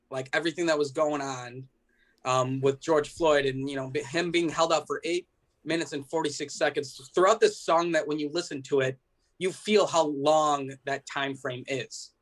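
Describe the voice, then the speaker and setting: Higher-voiced man explaining casually in an interview. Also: steady tone, moderate pace. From United States. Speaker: band member being interviewed. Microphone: video call.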